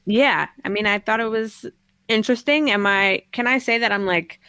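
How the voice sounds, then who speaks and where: A woman's voice: fairly high pitch, moderate pace, bright, forceful tone, clean tone, dry recording. A woman co-hosting a podcast, chatting casually about films in a tentative, conversational manner. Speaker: podcast co-host.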